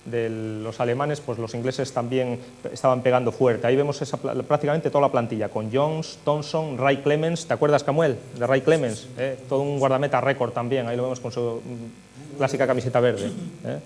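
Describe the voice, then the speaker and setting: A man's voice: mid-range voice, fairly even intonation, brisk, darkish tone. Spanish man hosting football, noisy humming recording. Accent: Spanish, from Spain. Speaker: host of a football history show. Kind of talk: sports retrospective presenting and match commentary. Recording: noisy recording with electrical hum.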